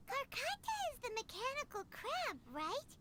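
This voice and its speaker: A woman voicing a tiny floating fairy companion with a high, childlike chatter who talks about herself in the third person. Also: big pitch swings, moderate pace. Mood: curious. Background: loud game music.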